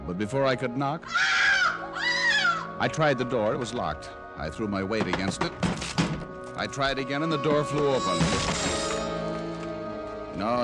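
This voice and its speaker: An actor playing a tough, wisecracking private detective in an old-time radio drama, with a wry, clipped, hardboiled delivery. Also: male voice, medium pitch, natural voice. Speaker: lead character and narrator.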